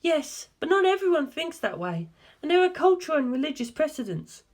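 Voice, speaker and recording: Woman acting an earnest, high-voiced young woman, emphatic. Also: sing-song, a little fast, a little mellow. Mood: earnest, probing.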